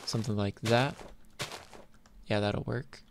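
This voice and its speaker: Male gaming host, lowish pitch, informal think-aloud commentary, noisy home-style recording with clicking keys. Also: fairly even intonation, slow. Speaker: host of a gaming video series. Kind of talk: casual gameplay commentary. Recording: clear background noise, keyboard clicks. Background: computer keyboard and clicking.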